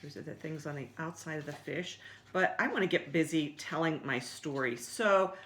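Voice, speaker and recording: A woman's voice: sits low, moderate pace, a bit bright, a little hiss or hum. A woman leading a story time for young children, speaking in simple, encouraging words as she explains songs, stories and activities. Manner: simple, encouraging address to small children with repetition.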